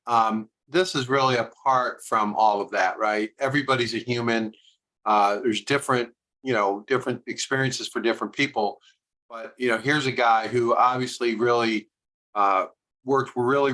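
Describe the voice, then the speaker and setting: Male host, bright tone, rambling off-the-cuff commentary. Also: mid-range voice, poor audio. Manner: rambling, hesitant, with many fillers. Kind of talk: commentary between video segments.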